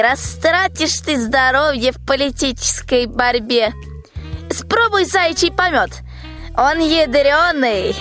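A woman's voice: high voice, fairly bright tone, full character voice. A female performer playing a sly, ailing old witch who grumbles in folksy rhyme in a radio fairy tale. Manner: folksy, rhyming, grumbling speech.

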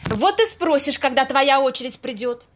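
A woman acting an impatient, sharp-tongued young woman in an amateur audio play, snappy and sarcastic. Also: sits high, expressive, normal speed, a little hiss or hum. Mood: irritated, curious.

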